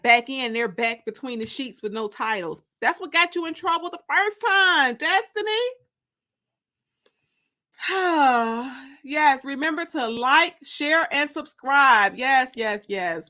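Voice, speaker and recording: High, bright-voiced woman chatting on livestream. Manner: gossipy, informal, repeats phrases for emphasis. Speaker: host of a live-streamed story time. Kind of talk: casual livestream chat.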